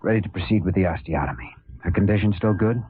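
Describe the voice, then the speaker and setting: A grave, earnest young male doctor played by a radio actor, very low in pitch and tightly controlled. His delivery is terse and serious in old-time radio style, on a noisy, tinny old recording. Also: fast talker, close to an everyday voice. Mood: focused, tense. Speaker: actor in a medical radio drama.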